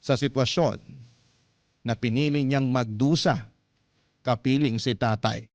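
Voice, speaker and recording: A man's voice: medium pitch, fairly even intonation, unhurried, dry recording. A man narrating a listener's first-person letter as a radio storyteller, in a dramatic storytelling style. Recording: loud music bed under voice.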